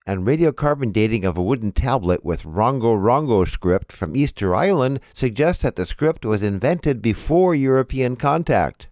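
A man's voice: mid-range voice, a little mellow, no echo, studio quality. A man reading scripted headlines and announcements as the host of a program.

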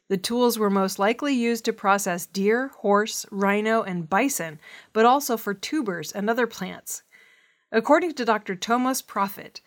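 A woman reading scripted news stories as a measured narration for listeners. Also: medium pitch, fairly bright tone. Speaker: news reader.

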